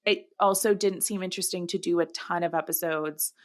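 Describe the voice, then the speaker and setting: A woman co-hosting a casual discussion podcast, often pausing and searching for her words as she explains. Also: lowish voice, steady tone. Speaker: podcast co-host. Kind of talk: casual book-adaptation discussion.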